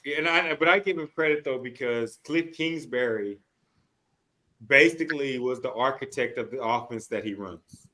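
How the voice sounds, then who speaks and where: A man's voice: medium pitch, moderate pace, sharp tone. A man hosting a sports podcast in casual chat, talking in a slangy, profane way.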